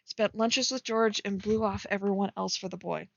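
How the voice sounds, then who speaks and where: A woman's voice: medium pitch, steady tone, normal speed, vocal fry, muffled recording. A giggly woman casually reading fan fiction aloud and breaking off to comment on it as she streams.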